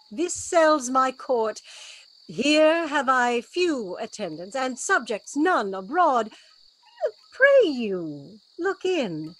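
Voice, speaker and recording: A woman playing a commanding wronged duchess and magician in a Shakespearean radio play, with stately, measured verse delivery. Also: a little high, full character voice, low-quality recording.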